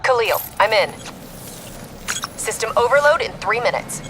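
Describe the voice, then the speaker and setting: Woman acting a high-voiced, urgent military tech operative. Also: lively intonation, normal speed, noisy background, close to an everyday voice. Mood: focused. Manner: clipped tactical reporting. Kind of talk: cinematic video game acting.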